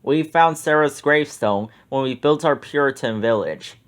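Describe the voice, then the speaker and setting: A man's voice: medium pitch, normal speed, close to an everyday voice. A man reading the lines of an ashamed middle-aged mayor who confesses in a subdued tone. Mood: confessing.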